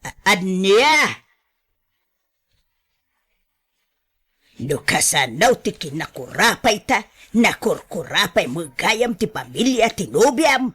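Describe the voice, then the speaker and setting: A woman playing a blunt, disapproving mother of a grown son in a radio drama, scolding and complaining in a drawn-out way. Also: mid-range voice, very animated, a bit bright, breathy edge, full character voice. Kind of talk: Ilocano radio drama acting.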